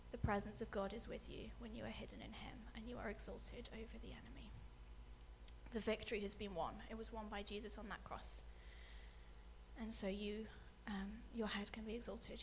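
A woman preaching a sermon, speaking softly with many ums and letting her phrases fade away quietly. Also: medium pitch, creaky, a little hiss or hum, rough recording. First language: not English. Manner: soft-spoken, many ums, trails off quietly at phrase ends. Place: church hall with a screen.

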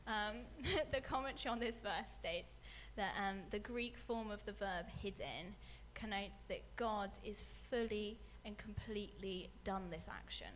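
Woman giving a sermon, soft and a bit hesitant, lots of ums, voice drops off at the end of lines, faint hum behind. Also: mid-range voice, unhurried, few pauses. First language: not English. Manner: soft-spoken, many ums, trails off quietly at phrase ends. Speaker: preacher. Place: church hall with a screen.

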